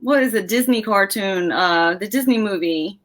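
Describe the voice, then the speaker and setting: A woman in her forties with an American accent, speaking casually as an interview guest, unhurried and quick to laugh. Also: medium pitch, fairly bright tone.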